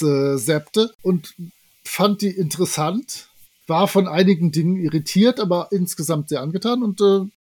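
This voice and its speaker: Male podcast guest with a distinctly high voice, casual and jokey conversational delivery. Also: a little mellow. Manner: playful, jokey.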